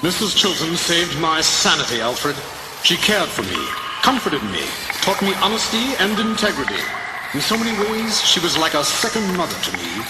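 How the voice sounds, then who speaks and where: Brooding hero narrator for animated comic: earnest, higher-pitched man, roomy sound under loud score. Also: normal speed, loud music behind the voice. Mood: sorrowful. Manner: solemn, earnest. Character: grown man, brooding hero recalling his childhood grief. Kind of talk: dramatic first-person scripted narration.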